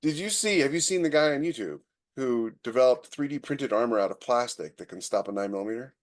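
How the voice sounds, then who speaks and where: A man's voice: mid-range voice, expressive, fast talker. A man co-hosting a podcast in casual conversation, thinking aloud and talking informally about technology. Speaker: podcast co-host. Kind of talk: casual conversational tech chat.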